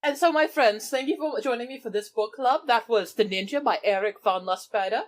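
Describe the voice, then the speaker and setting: A woman's voice: on the high side, brisk. A woman improvising casual in-character banter as the host of a book club in a tabletop role-playing show. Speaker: player hosting an in-game book club.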